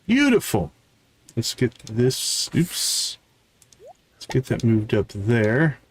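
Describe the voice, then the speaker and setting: A man's voice: medium pitch, very animated, takes it slowly, a little hiss or hum. A man giving casual live game commentary as a streamer, thinking aloud and chatting to viewers.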